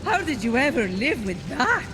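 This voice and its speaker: Game role: passionate, catty goddess, swooping unhurried speech, loud score underneath. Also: female voice, high-pitched, sing-song. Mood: exasperated. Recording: loud music behind the voice.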